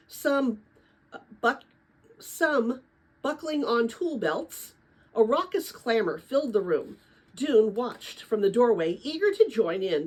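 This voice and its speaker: An older woman, a retired teacher, with a chatty, animated way of talking, chatting informally and reading a children's book aloud. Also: on the high side, breathy edge, rough recording. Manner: chatty, animated, rambling with personal asides. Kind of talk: informal chat and storybook read-aloud.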